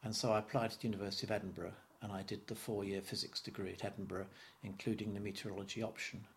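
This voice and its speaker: A man's voice: lowish voice, level tone, very fast, creaky, slight background noise. An older man in his seventies, from London, looks back on his early years in an oral history interview. He talks in a reflective, stop-start way, tripping over repeated words and chuckling as memories surface. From London, England. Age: 70s.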